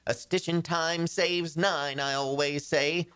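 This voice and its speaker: A man's voice: a little high, steady tone, slow, a bit bright, clear, resonant tone. An actor playing a flustered, henpecked married businessman in a radio comedy, pleading in a melodramatic, agitated way. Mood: urgent pleading. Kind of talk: old-time radio comedy acting.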